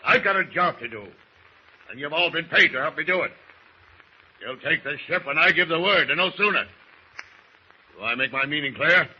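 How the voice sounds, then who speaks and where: Scheming old-time sailor on muffled radio. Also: male voice, fairly high pitch, animated, moderate pace, long pauses, slightly rough. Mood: angry, commanding. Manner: folksy old-salt dialect speech. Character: scheming seafaring ship's master, folksy and commanding. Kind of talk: 1940s radio drama acting.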